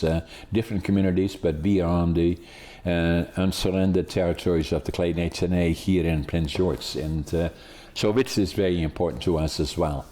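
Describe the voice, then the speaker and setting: A man's voice: low voice, mellow. A man in his eighties from the Netherlands with a Dutch accent, hosting a podcast and telling his story in a rambling way with many restarts. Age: 80s. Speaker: podcast host. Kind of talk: casual interview chat.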